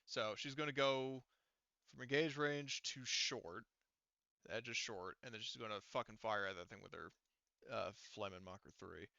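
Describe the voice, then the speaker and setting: A man's voice: on the low side, fairly even intonation, moderate pace, gravelly, bright, forceful tone, dry recording, muffled recording. A man acting as game master in a casual tabletop game, narrating and working out rules aloud in an informal, sweary way. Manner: informal, profane.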